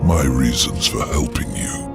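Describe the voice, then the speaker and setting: An actor playing a proud, secretive imprisoned demon lord in a video game cutscene, theatrical and commanding. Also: male voice, extremely low pitch, lively intonation, unhurried, creaky, loud music behind the voice, exaggerated voice. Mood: cunning, commanding.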